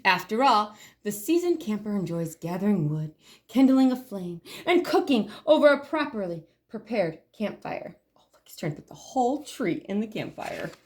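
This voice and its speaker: A woman's voice: medium pitch, sing-song, normal speed. A woman hosting a library storytime, reading a picture book aloud expressively with chatty asides to the children.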